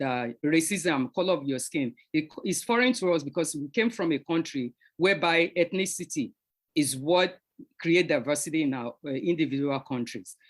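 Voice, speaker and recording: A woman from Nigeria opening a panel talk with prepared remarks, speaking in a measured, earnest way and repeating phrases as she goes. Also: sits low. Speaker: panel moderator.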